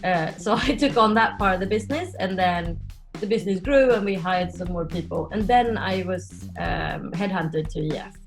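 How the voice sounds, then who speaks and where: A woman's voice: fairly low pitch, moderate pace, vocal fry, faint music behind. A Swedish-raised woman in her forties, a podcast guest chatting casually about her life and laughing often as she tells stories. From Sweden. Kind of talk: casual interview chat.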